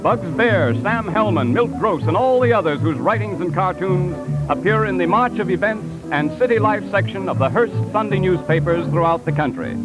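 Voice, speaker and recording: A man's voice: high voice, poor audio. A man announcing and narrating from a script in 1930s radio style, on an old broadcast recording.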